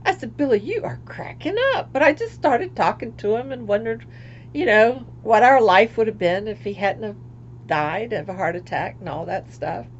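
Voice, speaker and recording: A woman's voice: medium pitch, expressive, moderate pace, a little hiss or hum. Chatty older woman, lo-fi. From Florida. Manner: rambling, chatty, laughs at herself, trails off into quiet asides. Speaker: craft vlogger. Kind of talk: casual livestream chat.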